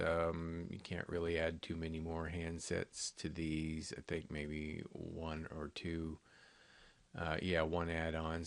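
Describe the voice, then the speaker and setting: Very deep-voiced man presenting products. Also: vocal fry, a bit bright, no room sound. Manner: plainspoken, informal, explanatory. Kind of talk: unscripted product walkthrough.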